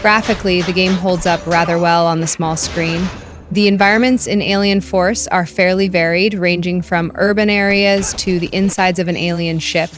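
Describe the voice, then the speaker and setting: A woman's voice: lowish voice, fairly even intonation, normal speed, soft background music. A woman reading a scripted video game review as a voice-over narrator.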